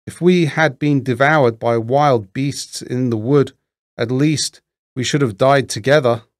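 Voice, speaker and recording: A man's voice: mid-range voice, a little slow, no room sound, clean studio sound, lightly acted. A man voicing a poor little girl in a storybook, earnest and pleading. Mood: despairing plea. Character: poor little girl, frightened but brave sister. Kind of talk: storybook character voice.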